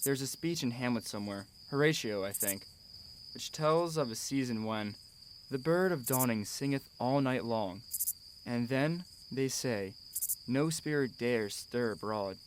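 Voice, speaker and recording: Guy acting earnest gentle husband and dad-to-be, radio play, tender and thoughtful, bit of noise behind him. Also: medium pitch, expressive, unhurried, lightly acted. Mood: solemn, wistful. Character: gentle, earnest English husband and expectant father.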